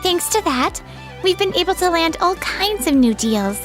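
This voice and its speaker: A woman's voice: very high-pitched, big pitch swings, moderate pace, a bit bright, faint music behind, heavily acted. A woman voicing an earnest, polite young businesswoman who speaks frankly and reasonably in a manga dub.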